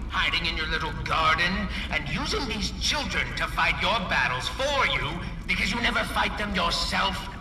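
An actor playing a pompous, gloating mad-scientist corporate director in a video game, sneering through theatrical villain speeches. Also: male voice, on the high side. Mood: contemptuous. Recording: echoey hall, distant, music behind. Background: game music.

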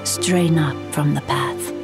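Music sits behind a serene female spirit messenger from a game. Her low, breathy voice moves slowly and ceremonially, with solemn, archaic phrasing. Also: steady tone. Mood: solemn, mystical.

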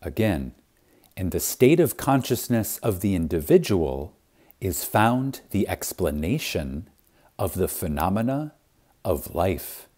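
A man narrating a spiritual audiobook in a reverent, deliberate manner, with dramatic pauses and strong emphasis. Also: deep voice, big pitch swings, a little mellow. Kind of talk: scripted audiobook reading of a spiritual text.

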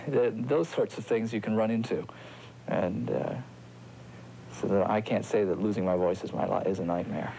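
Gravelly low-ish middle-aged guy, opera singer talking it through slowly, faint tape hiss. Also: warm tone, poor audio. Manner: halting, thoughtful, many restarts. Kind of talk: reflective interview talk.

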